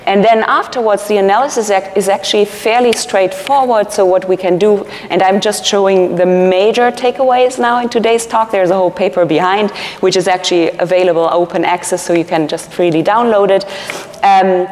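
A woman's voice: mid-range voice, a little mellow. A woman researcher giving a conference keynote from a podium, explaining earnestly with fillers and restarts. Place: large conference hall, at a podium. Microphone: podium mic, filmed from a far camera. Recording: long hall reverb.

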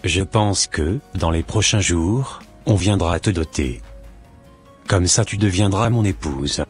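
An actor playing a polite, scheming young evangelist in a cartoon drama, speaking in measured, formal phrases. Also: male voice, sits low, faint music behind. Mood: pleased.

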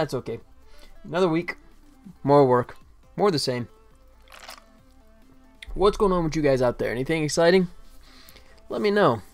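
Easygoing middle-aged man, slightly high voice. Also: vocal fry. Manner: easygoing, wry, self-deprecating. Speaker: game developer livestreamer. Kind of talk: casual livestream chat. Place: indoor desk, livestream webcam setup.

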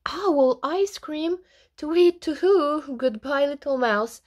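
Woman as a high-pitched, silly storybook owl. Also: lively intonation, moderate pace, dark tone, slightly rough. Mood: alarmed. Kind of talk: children's storybook character voice.

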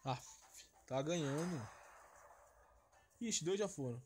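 Brazilian male gamer, fairly high voice, informal slangy commentary over faint music. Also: expressive, slow pace, darkish tone. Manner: informal, slangy, reacting aloud. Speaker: gamer, channel host. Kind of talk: casual gameplay commentary. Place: voice-over on screen-recorded mobile game, music behind.

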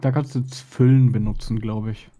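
A man's voice: medium pitch, steady tone, normal speed, a loud crowd. A man chatting casually as co-commentator on a game stream, advising the player.

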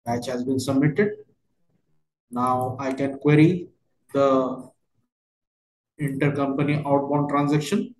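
Man, medium pitch, fragmented instructional delivery, software walkthrough, echoey recording. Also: level tone, slow, long pauses. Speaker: software trainer. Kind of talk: step-by-step screen tutorial narration.

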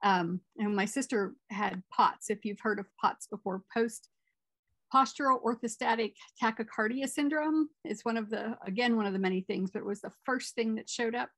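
Middle-aged woman moderator, slightly high, some fry, dry video-call sound. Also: steady tone, normal speed, a bit bright, dry recording, poor audio. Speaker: conference moderator. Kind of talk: moderating a conference Q&A.

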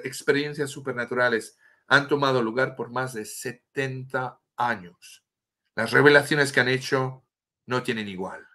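A man's voice: mid-range voice, pushed and bright. A middle-aged French man speaking Spanish with a French accent, a guest author telling a story earnestly on a video call from home, often restarting words as he searches for the Spanish term. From France. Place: home room with a bookshelf and statue. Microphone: webcam on a video call.

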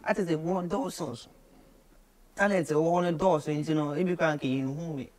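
A man's voice: fairly high pitch, steady tone, normal speed, a little mellow. A man in his twenties with a West African accent, answering casually as a guest in short phrases, mixing English with local language. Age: 20s. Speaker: musician, interview guest. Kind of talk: casual interview chat. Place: small radio studio office, indoors.

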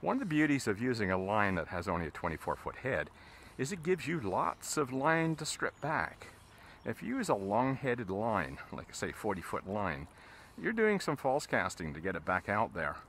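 Man, deep voice, bright tone, relaxed instruction, outdoors on water, faint background noise. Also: moderate pace. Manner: relaxed, explains steps as he casts. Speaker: fly fishing instructor. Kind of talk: casual instruction while fishing. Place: outdoors on a river, in a boat.

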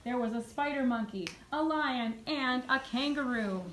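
A woman music teacher narrating a puppet show for young children in an expressive storytelling manner, recorded on a phone in a classroom. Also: high voice, normal speed. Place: medium-sized school music classroom. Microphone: phone camera a few metres away. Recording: noisy classroom phone video.

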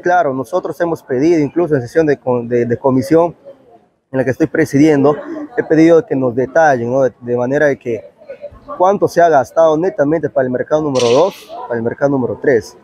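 A man's voice: medium pitch, fairly quick, mellow, breathy edge, dry recording, poor audio. A man in his thirties with a Peruvian Spanish accent, answering an interview as a town councillor, on a lapel mic in a covered market. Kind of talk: spoken news interview answer. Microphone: clip-on lapel microphone.

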